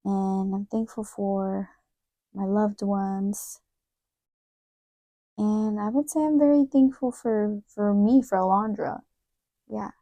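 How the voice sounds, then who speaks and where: A woman in her twenties co-hosting a podcast, talking in a relaxed, chatty way. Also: mid-range voice, slow, long pauses, dry recording. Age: 20s. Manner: relaxed, chatty, often finishes her co-host's sentences. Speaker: podcast co-host. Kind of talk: casual chat.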